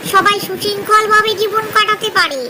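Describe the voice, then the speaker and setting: A woman's voice: very high voice, steady tone, brisk, a crowd in the background, pitched above the performer's own voice. A woman voicing a sly, greedy, boastful jackal in a children's cartoon, with pompous delivery and a howling catch-cry. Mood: pompous, commanding. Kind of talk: children's cartoon story acting. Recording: clearly noisy background.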